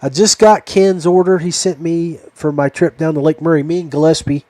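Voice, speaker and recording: A middle-aged or older man with a slightly higher-pitched voice and a relaxed, mellow tone. He hosts a casual podcast chat in a folksy, affectionate, rambling manner. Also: a little fast, no echo. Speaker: podcast host. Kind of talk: casual chat closing a fishing podcast interview.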